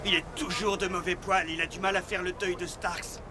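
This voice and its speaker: A man's voice: high voice, flat delivery, brisk, close to an everyday voice. A man dubbing a bitter, blunt American soldier who talks coarsely about the enemy in a war film. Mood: gloomy. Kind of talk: French dub of a war film. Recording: film soundtrack with loud vehicle noise.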